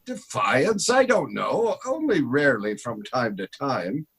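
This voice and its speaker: A man's voice: medium pitch, sing-song, unhurried, fairly bright tone, breathy edge, full character voice. A man playing a puzzled, dismissive robot factory expert in a 1930s-style radio drama.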